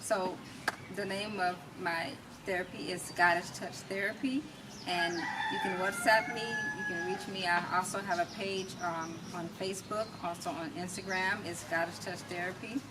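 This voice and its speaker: Middle-aged American woman, talkative interview guest, emphatic delivery, recorded away from the mic with noticeable noise. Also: on the low side. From United States. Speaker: interview guest, massage therapist and music artist. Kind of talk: conversational interview. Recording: distant mic, clearly noisy background.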